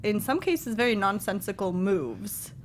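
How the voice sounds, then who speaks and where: Woman co-hosting over faint rumble. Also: very animated, measured pace. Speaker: co-host of a film review show. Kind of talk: casual panel discussion.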